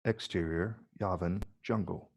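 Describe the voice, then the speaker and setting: A man reading stage directions as scripted narration in a measured, descriptive way. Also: deep voice, gravelly, darkish tone, husky.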